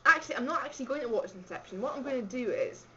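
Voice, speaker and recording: A woman's voice: high-pitched, animated, very fast, sharp tone, lo-fi sound. Brief: rambling, giggly woman, light Scottish accent, faint hiss behind her. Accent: slight Scottish. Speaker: vlogger. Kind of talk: casual chat answering a questionnaire.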